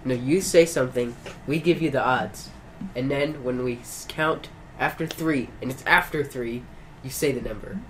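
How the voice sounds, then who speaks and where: A man's voice: mid-range voice, normal speed, a bit bright. A teenage boy co-hosting a casual podcast on a shared desk mic, joking and laughing often. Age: teens. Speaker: podcast co-host. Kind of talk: casual chat. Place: school room. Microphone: shared desk microphone. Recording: noisy amateur podcast recording.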